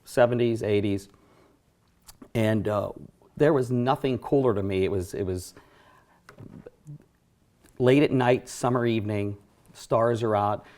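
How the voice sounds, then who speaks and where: A man's voice: on the low side, measured pace, pauses often, a little mellow. A middle-aged man from western Pennsylvania, a racing announcer guest, reminiscing in casual studio chat with fillers and self-corrections. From Johnstown, Pennsylvania area.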